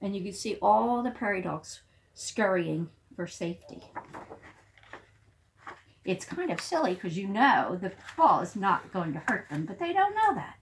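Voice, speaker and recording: Very animated woman reading to kids. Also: mid-range voice, a little slow, slight background noise. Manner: explanatory, expressive, child-directed. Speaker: teacher reading a picture book to young children. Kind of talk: animated read-aloud storytelling.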